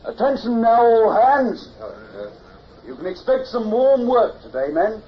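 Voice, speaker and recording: An actor playing an earnest, outspoken naval lieutenant, emphatic and blunt, in period radio drama style. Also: male voice, extremely high pitch, lively intonation, husky, full character voice. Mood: commanding, shouted. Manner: emphatic, blunt, hesitant pauses. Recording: dull old radio recording with hiss.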